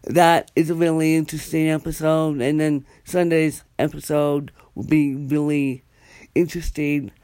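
A young adult podcast host giving a casual solo update, rambling and halting with many fillers and restarts. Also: medium pitch, flat delivery, darkish tone, dry recording.

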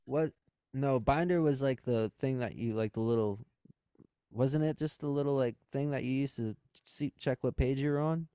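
A man's voice: medium pitch, normal speed, dark tone, smooth, dry recording, studio quality. A young man co-hosting a casual podcast, laughing often and mimicking voices as he tells stories. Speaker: podcast co-host. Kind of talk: casual crude banter.

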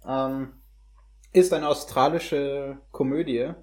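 Mellow-voiced young man in casual talk. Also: mid-range voice, fairly even intonation, takes it slowly. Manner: hesitant phrasing mixed with English slang words. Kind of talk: casual conversational film review.

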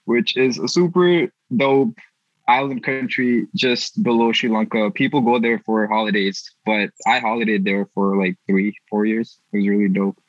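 Slangy young man, bright dry sound. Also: on the low side, no echo, lo-fi sound. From India. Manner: laid-back slangy storytelling. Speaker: youth artist guest. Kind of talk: casual chat.

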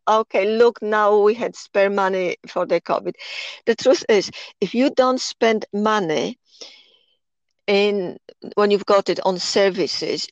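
A woman's voice: medium pitch, no room sound. A woman in her sixties with a Polish accent talks earnestly in a podcast interview. She makes her points persuasively and circles back over her words. The sound is dry and slightly dull. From Poland. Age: 60s. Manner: earnest, persuasive, repeats herself while thinking.

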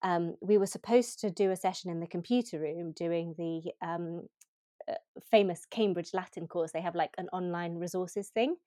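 Woman, low voice, podcast co-host, eager storytelling, frequent restarts, dry sound. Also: warm tone, no echo. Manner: enthusiastic, halting restarts. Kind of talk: personal storytelling in podcast chat.

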